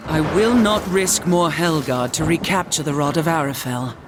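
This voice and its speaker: A woman's voice: low-pitched, moderate pace, noisy background, natural voice. A woman playing a proud angel warrior leader in a video game, speaking in a solemn, formal, commanding way. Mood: stern, grudging. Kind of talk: video game character acting.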